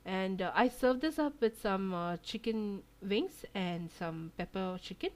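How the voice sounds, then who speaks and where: A woman, Tamil, talks through a recipe step by step in an easygoing, chatty way. Her pitch sits in an ordinary middle range. She pauses often with little fillers as she cooks. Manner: relaxed, chatty, many fillers. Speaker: cooking channel host. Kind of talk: home cooking tutorial voice-over. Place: home kitchen.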